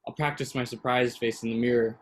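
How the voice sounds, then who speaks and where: A man acting a gentle, dry-humoured young boyfriend in a staged play reading, understated and conversational. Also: medium pitch, steady tone, a little fast, lightly acted. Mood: wry, casual.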